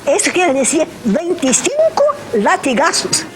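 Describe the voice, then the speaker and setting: An older woman interviewed for a documentary, speaking slowly with hesitant fillers. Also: sits high, huge pitch swings. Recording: noisy ambient recording.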